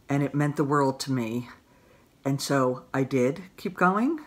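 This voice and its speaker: A middle-aged woman introducing award winners in a scripted talk to camera, measured and grateful. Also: very deep voice. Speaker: host presenting awards. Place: small room indoors.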